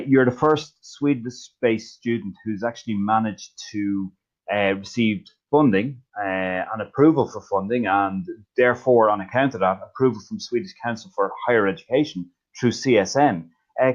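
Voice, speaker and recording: A man's voice: on the low side, normal speed. A man interviewing a student, asking casually with some stumbling restarts. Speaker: interviewer for the school. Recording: muffled, dull sound.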